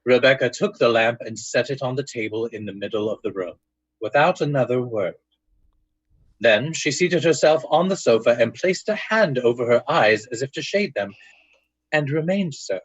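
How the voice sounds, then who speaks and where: A man narrates a supernatural tale in vintage radio drama style. His voice is husky and medium in pitch. The sound is dry and a bit muted. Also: expressive, normal speed, dry recording, dull audio.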